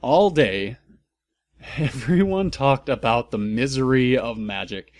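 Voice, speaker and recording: A man's voice: mid-range voice, animated, takes it slowly, no echo. Casting: male narrator, mock-serious and theatrical, comic audiobook style, very little room sound. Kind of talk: comedic dramatic reading of fan fiction.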